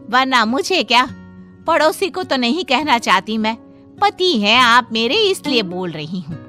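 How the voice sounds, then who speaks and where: A woman playing a superstitious, caring village wife in a Hindi animated story, fussing expressively. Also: medium pitch.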